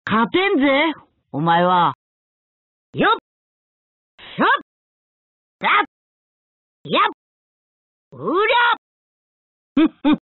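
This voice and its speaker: A young adult male anime fighter, earnest and combative, for a video game. The voice sits high and leaps dramatically in pitch, delivered in short determined exclamations and battle cries. The clips sound thin and narrow, like a phone line. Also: big pitch swings, measured pace, no echo. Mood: fierce exertion.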